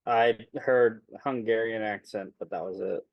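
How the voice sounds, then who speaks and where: A man's voice: lowish voice, fairly even intonation, a little slow, creaky, no echo, highs cut off. Male tabletop player, dry close sound. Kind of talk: casual in-game banter.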